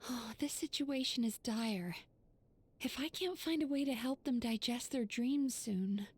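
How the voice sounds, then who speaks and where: Composed, high-voiced young game healer. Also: female voice, a little slow, a little mellow, slightly rough. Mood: grave, concerned. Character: composed young female dream therapist, caring and authoritative. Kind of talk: video game voice acting. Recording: music behind.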